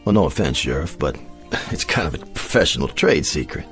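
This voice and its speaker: An actor playing a calm, mysterious frontier huckster with an American accent and a sly, matter-of-fact manner in an audio drama. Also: male voice, very deep voice, fairly quick, soft background music, exaggerated voice. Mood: slyly assured. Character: unhurried, mysterious frontier travelling huckster, blandly assured. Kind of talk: audio drama Western acting.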